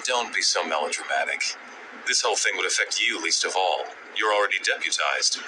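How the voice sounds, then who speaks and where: Game superhero industrialist, dry, persuasive, animated pitch, heavy noise behind. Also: male voice, brisk. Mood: dry, dismissive. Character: smooth, sardonic male superhero industrialist. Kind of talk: video game voice acting. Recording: very noisy background ambience.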